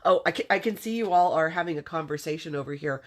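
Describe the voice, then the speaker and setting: Low-voiced chatty woman with a stuffy nose. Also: fast. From Southern California. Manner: chatty and friendly, laughs often between remarks. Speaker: craft demonstrator hosting a livestream. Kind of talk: casual livestream chat, greeting viewers by name.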